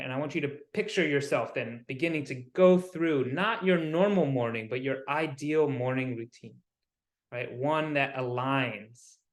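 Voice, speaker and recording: A man leading a guided visualization as a wellness coach, soothing and unhurried, pausing between short phrases. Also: mid-range voice. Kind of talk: guided meditation. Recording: roomy, echoing sound.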